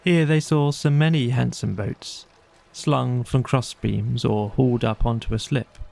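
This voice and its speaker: Mellow, creaky-voiced male storyteller. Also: medium pitch. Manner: measured, storytelling. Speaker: audiobook narrator. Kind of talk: scripted bedtime story narration.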